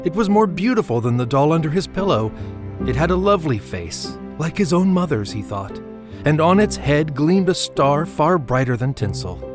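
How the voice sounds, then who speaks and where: A man narrating a Christmas story in an audio drama, with measured, expressive literary delivery. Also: a little high. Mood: measured wonder. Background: music under the voice.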